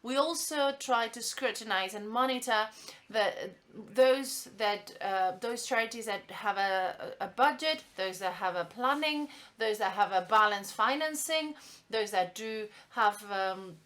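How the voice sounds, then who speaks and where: A woman giving a live simultaneous interpretation of a conference welcome speech, halting often with fillers and restarts. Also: a little high, fairly bright tone.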